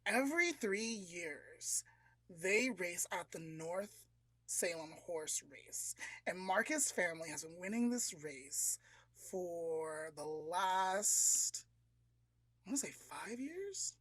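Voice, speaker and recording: A man's voice: sits high, big pitch swings, slow, bright, forceful tone, dry recording, rough recording. A man with an American accent co-hosting a podcast, casually telling a story in a conversational, approximate way. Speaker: podcast co-host.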